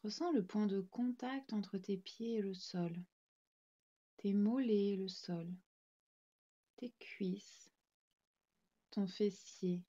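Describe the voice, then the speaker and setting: A woman guiding a yoga nidra relaxation, speaking in calm, soothing, gently instructing short phrases. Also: mid-range voice, slow, long pauses, highs cut off. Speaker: yoga nidra meditation guide. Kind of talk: guided relaxation meditation narration.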